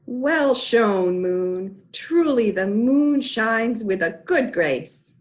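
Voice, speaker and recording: A woman's voice: on the high side, lively intonation, extremely slow. A woman playing a dryly critical noble lady in an amateur Shakespeare table read over a video call. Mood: mock praise. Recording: home video call recording.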